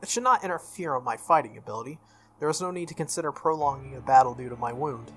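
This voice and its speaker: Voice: medium pitch, expressive, fast, faint music behind, natural voice. A game streamer voicing a proud, stubborn young female warrior servant with formal, resolute speech in a visual novel. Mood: stiff, formal.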